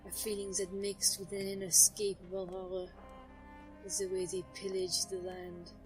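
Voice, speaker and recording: A woman playing an ancient, brooding nature sorceress who narrates an audio drama in a solemn, measured, literary manner. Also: lowish voice, close to an everyday voice, low-quality recording. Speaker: first-person narrator. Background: music.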